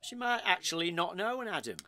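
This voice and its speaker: An actor playing a weary, irritable British husband in an audio play, dryly sarcastic and exasperated. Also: male voice, sits high, very animated, brisk, pushed and bright, full character voice. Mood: defensive. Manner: dry, exasperated. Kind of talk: audio play acting, domestic bickering.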